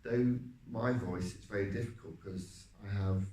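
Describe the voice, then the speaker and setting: A man with a deep voice, a stage actor talking through a role, hesitant with many fillers. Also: unhurried, warm tone. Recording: roomy, from a distance.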